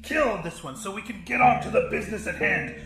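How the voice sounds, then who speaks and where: A man voicing an enraged, cruel giant crab boss in an audiobook, ranting in a screechy, gravelly voice. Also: high voice, animated, fairly quick, roomy sound, over music, pitched above the performer's own voice. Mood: menacing. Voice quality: screechy, gravelly imitation of human speech. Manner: ranting, shouting, profane bullying. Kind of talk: audiobook character voice.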